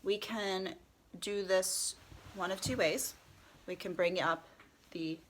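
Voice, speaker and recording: Woman, stuffy nose from a cold, slow unhurried pace, calm tutorial host, slips into soft whispers. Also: mid-range voice, slight background noise, poor audio. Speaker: head wrap tutorial host. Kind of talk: step-by-step tutorial.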